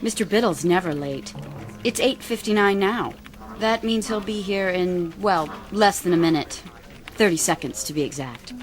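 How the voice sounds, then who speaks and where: An actress playing a prim, anxious office worker in a radio drama, precise and disapproving. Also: female voice, lowish voice, animated, moderate pace, soft background music, natural voice. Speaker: actor. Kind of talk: old-time radio style drama acting.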